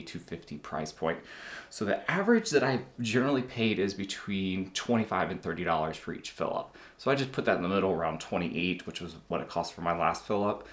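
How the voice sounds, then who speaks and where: A man's voice: deep voice, echoey room. A man in his thirties hosting his own channel, talking casually with plenty of fillers and restarts. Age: 30s. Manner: relaxed, chatty, lots of fillers. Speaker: car channel host. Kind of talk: casual talk to camera.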